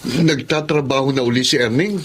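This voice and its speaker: A man's voice: medium pitch, brisk, a little hiss or hum, natural voice. A man playing a nosy, skeptical drinking buddy in a Filipino radio drama.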